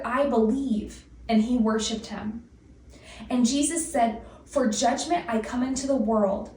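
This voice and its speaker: Slow-reading woman in an echoey room. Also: on the high side, dark tone. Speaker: scripture reader. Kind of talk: solemn read-aloud of King James Bible scripture. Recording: echoey room, distant microphone.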